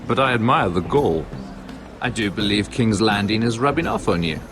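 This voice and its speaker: Sardonic court nobleman, acted by a man, deep, expressive intonation, smooth, wry, fantasy game, music behind. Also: moderate pace, close to an everyday voice. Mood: amused, teasing. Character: wry, worldly lord and merchant at a fantasy court. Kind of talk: fantasy video game acting.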